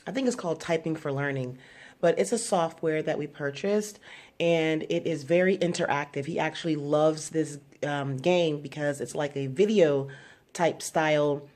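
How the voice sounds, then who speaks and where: A woman's voice: deep voice, moderate pace, a little mellow. A woman hosting her own channel, casually walking through her son's homeschool schedule step by step, with frequent fillers. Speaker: homeschooling mother and channel host. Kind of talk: casual explanatory vlog.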